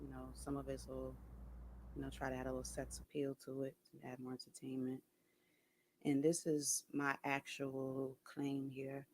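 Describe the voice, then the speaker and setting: A soft-voiced man talking casually to camera, hesitant, with many ums and restarts. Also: medium pitch, completely flat delivery, normal speed, slight background noise, poor audio. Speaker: video creator.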